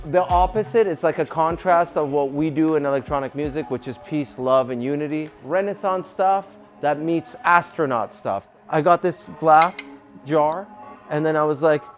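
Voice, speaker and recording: A man's voice: fairly high pitch, moderate pace. A man in his forties, a DJ and music producer, talking in a casual, rambling documentary interview with frequent fillers and swearing. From Canada.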